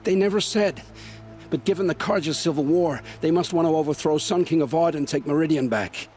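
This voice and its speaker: An actor voicing a haunted, grim male scavenger who tells dark stories with intensity, in a video game. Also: on the high side, a little fast, faint music behind, lightly acted. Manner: intense, dramatic storytelling.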